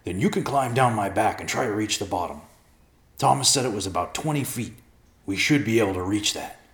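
Man voicing an earnest, raised-pitch talking bear. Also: expressive, a little fast, fairly bright tone, reverberant. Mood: determined. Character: big steady talking bear, brave and helpful.

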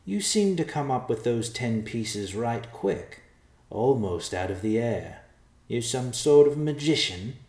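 A male narrator acts out a sly little caravan bandit from a fantasy storybook. He is greedy and cocky, wheedling in folksy, rustic phrases. The voice sits higher than the narration, in a roomy, echoing recording. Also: lively intonation, a little slow, a little mellow, natural voice. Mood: sly, haggling.

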